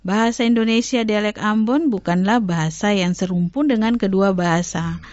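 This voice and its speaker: A woman guest explains linguistics on an educational radio program. Her pitch sits in an ordinary middle range, and she works through her points with hesitant fillers and restarts. The studio sound is dry and somewhat dull and lo-fi. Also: normal speed, a little mellow, no room sound. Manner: explanatory, many hesitations and self-corrections. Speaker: language researcher guest.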